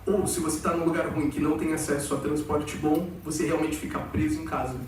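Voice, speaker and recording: A man's voice: fairly high pitch, little pitch movement, fairly quick, slight background noise. A young man with a Brazilian accent gives a chatty, rambling talk to students, full of fillers. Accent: Brazilian Portuguese, with some Portugal slang. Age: young adult. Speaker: exchange student presenter. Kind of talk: informal presentation to students. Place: large auditorium. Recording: echoey hall from far off.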